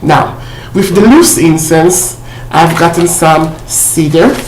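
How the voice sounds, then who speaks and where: Woman, vlog haul chat, lowish voice, chatty with fillers, roomy echo. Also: slow, slight background noise. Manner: chatty, many fillers and "okay?" check-ins. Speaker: vlogger showing a shopping haul. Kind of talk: casual haul video chat. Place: indoor room at home.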